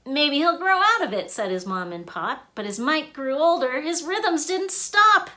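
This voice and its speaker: Female storybook reader, high and very animated, unhurried delivery, expressive with rhymes and sound effects. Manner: expressive, emphatic sound words. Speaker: author and illustrator reading own book. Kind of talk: read-aloud of rhyming children's picture book.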